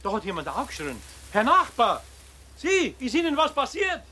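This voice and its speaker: An actor playing a puzzled, good-natured older neighbour with a homely Bavarian accent in a 1970s children's radio play. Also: male voice, very high-pitched, wildly swooping pitch, normal speed, sharp tone, slightly rough, full character voice.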